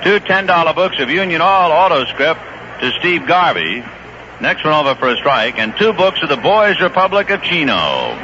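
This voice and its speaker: A male play-by-play announcer calls a baseball game on a vintage 1970s radio broadcast. His voice sits a little high and moves a lot, brisk and climbing on the big plays. The sound is muffled and lo-fi, with a thick layer of hiss underneath. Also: sing-song, bright, forceful tone. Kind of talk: live baseball radio commentary. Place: ballpark broadcast booth.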